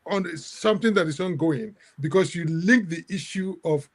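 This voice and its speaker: A man moderating a book discussion in an academic way, halting and repeating words as he builds his point. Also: a little high, slightly rough.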